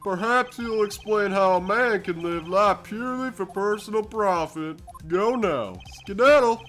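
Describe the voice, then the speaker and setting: A man's voice: high-pitched, unhurried, fairly bright tone. A man voicing a pompous, arrogant corporate boss in a video game fandub, talking in grandiose, condescending phrases. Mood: menacing, dismissive. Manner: grandiose, affected wording, condescending. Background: music.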